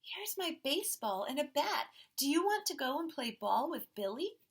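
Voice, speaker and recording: A woman's voice: high voice, big pitch swings, moderate pace, voice pushed up. A woman voicing eager young children in a storybook, with pleading questions and calls. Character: eager young twin children, boy and girl.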